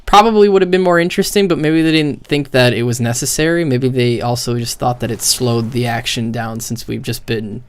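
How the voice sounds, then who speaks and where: A man with a mid-pitched voice talks as a streamer reacting to game cutscenes. He is blunt and opinionated, swears freely, and speaks casually and off the cuff. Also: brisk, few pauses, clean tone. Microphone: close mic with gain set very high.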